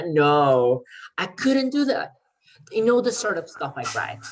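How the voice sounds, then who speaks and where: An eager crime writer read by a woman in a readers theater play, with a low voice. The delivery is earnest and persuasive, a little halting, as if laying out a careful scheme to rescue a friend. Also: sing-song, full character voice. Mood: insistent. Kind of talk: amateur readers theater performance.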